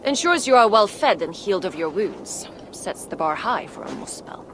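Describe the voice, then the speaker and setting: An actress playing a pragmatic female dwarf metal worker in a video game, measured and matter-of-fact. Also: mid-range voice, sing-song, fairly bright tone, slight background noise. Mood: resigned, candid. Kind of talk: video game cutscene acting.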